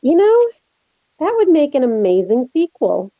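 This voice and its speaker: A woman's voice: high voice, sing-song, measured pace, no echo, heavily acted. A woman playing a teasing, protective young friend in a horror script table read, wry in manner, on a dull lo-fi microphone.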